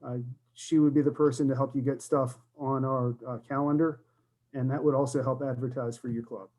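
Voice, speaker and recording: A man's voice: mid-range voice, level tone, brisk, darkish tone, poor audio. A man presenting at a virtual conference, explaining things with frequent fillers. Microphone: computer mic on a video call.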